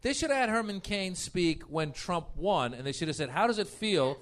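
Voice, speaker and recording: A male comedian with a very high voice for a man. He banters as a panelist and pushes his points insistently, in a conversational comic style. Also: animated, moderate pace. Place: live stage show.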